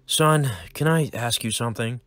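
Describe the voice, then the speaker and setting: A man playing a weary, protective middle-aged hitman father, speaking in a steady, earnest voice. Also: mid-range voice, moderate pace, no echo, soft background music, voice pushed up, close to an everyday voice. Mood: somber.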